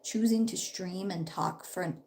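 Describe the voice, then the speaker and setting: A woman's voice: on the low side, normal speed, a little mellow, slight background noise. A young woman in her twenties, a bit sick, chatting upbeat and rambling during a casual live stream at home while doing her makeup. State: slightly sick. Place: home, her parents' house.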